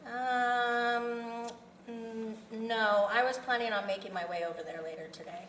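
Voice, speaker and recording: A woman reading the part of a formal, evasive serial killer in a script table read, halting and businesslike. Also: fairly high pitch, fairly even intonation, a bit bright. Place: indoor festival venue table read. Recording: distant echoey room with background noise.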